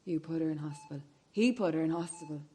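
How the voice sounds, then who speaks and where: Woman acting hard, low-voiced controlling sister. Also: fast talker, mellow, natural voice. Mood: cold, taunting. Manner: pointed, insistent questioning. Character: hard, controlling vigilante sister, Louise, cold and insistent. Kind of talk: radio play acting.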